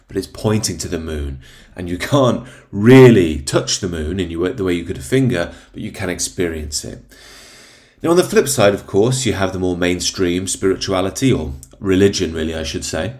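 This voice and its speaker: A man in his thirties with a cold-stuffed voice, hosting a thoughtful spiritual commentary in a rambling, reflective way. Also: low voice. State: congested from a cold. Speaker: channel host.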